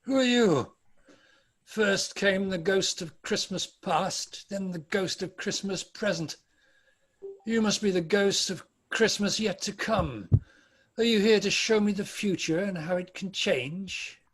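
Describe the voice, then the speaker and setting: A man acts out an old British miser in a dramatic reading. The voice sits high for a man. He is anguished and repentant, with a theatrical, pleading delivery. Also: unhurried, fairly bright tone, breathy edge, exaggerated voice. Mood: anxious, questioning. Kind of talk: dramatic table read.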